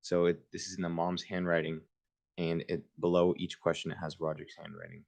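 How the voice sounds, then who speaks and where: A man's voice: deep voice, monotone, brisk, vocal fry, darkish tone. A man reading a children's book aloud in an informal way, with casual asides of his own. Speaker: reader hosting a book livestream.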